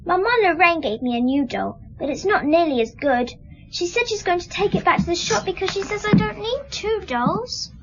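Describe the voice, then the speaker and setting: Little girl for radio drama: very high, animated, innocent and candid. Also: normal speed, muffled recording, slight background noise, heavily acted. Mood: hopeful, chatty. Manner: childlike, candid, simple phrasing. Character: innocent, chatty little girl, bedridden and trusting.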